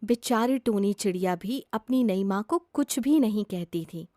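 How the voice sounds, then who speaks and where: A woman's voice: medium pitch, expressive, unhurried, warm tone, no echo, clean studio sound. A woman narrating a scripted children's story as a storyteller.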